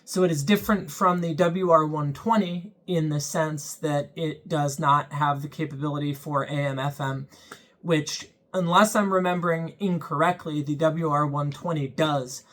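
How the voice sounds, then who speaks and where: A man's voice: on the high side, fairly even intonation, moderate pace, a bit bright. A young man hosting his own channel, giving a casual, earnest product review in a plain-spoken way with frequent ums. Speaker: channel host reviewing a weather radio.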